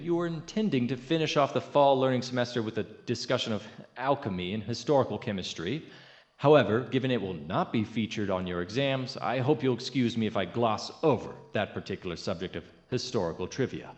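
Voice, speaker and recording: An actor playing a courteous, dismissive chemistry professor in an audio drama, speaking in a formal, measured, gently condescending manner. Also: male voice, mid-range voice, animated, close to an everyday voice. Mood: formal, composed. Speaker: substitute lecturer. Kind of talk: audio drama, lecture and office talk.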